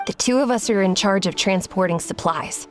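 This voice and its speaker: A woman's voice: mid-range voice, moderate pace, soft background music, lightly acted. A woman voicing a friendly young female surfer who thanks her rescuers in a game cutscene. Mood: grateful. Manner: grateful, friendly.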